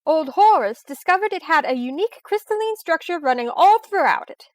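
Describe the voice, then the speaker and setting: A brisk woman professor and treasure hunter in a vintage-style radio mystery. Her voice is high and confident, quick with sarcasm, on a dry, close recording. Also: lively intonation, no echo. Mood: confident explaining. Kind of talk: old-time radio detective drama acting.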